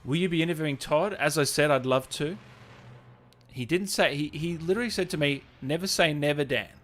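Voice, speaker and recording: A man hosting a casual livestream, reading out viewer messages and thanking people with effusive, drawn-out, hyped shout-outs. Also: fairly high pitch. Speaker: livestream host and channel owner. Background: music playing behind the voice.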